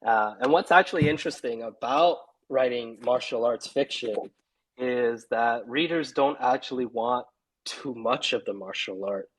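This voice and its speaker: A man's voice: medium pitch, normal speed, husky, poor audio. Easygoing man chatting about his writing. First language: English. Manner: easygoing, talks in restarts and asides. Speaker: author guest. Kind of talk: casual video chat interview.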